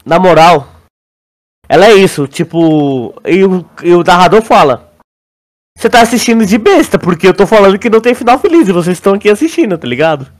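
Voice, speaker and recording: A young man with a Brazilian accent chatting casually as a podcast co-host, in a slangy, halting way. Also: sits high, expressive. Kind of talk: casual chat.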